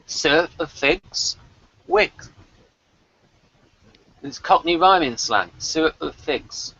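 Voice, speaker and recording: Male review co-host. Wry, higher voice, big swings in pitch, casual. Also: expressive, slow, vocal fry, bright, forceful tone, breathy edge, no echo, lo-fi sound. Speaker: podcast co-host.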